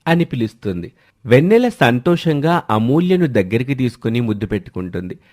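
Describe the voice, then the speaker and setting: A man's voice: mid-range voice, sing-song, brisk, darkish tone. A man reading a scripted family drama story as the storyteller, in a dramatic narrating style.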